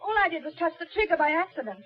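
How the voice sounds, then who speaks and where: A young, innocent-seeming lady in a radio mystery. Her voice is high and fluttery, full of sweet apology. The recording is dull and lo-fi. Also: expressive, racing. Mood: flustered. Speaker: actress in a radio drama. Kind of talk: radio mystery drama acting.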